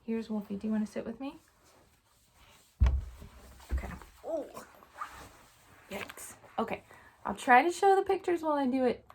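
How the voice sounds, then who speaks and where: A young adult woman reading a storybook aloud to children in an informal, animated way. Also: sits high, moderate pace, long pauses, slight background noise. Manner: casual, child-directed, animated on dialogue.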